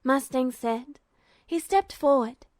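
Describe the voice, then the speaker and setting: A woman's voice: high-pitched, slow, darkish tone, no room sound, studio quality. A woman reading fan fiction as scripted story narration.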